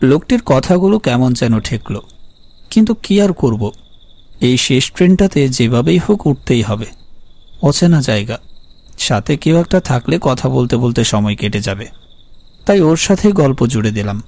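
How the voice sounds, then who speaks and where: A man playing a polite, uneasy traveller who narrates an eerie animated ghost story in the first person. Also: mid-range voice, moderate pace, no room sound. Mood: grateful, conversational. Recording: faint music behind the voice.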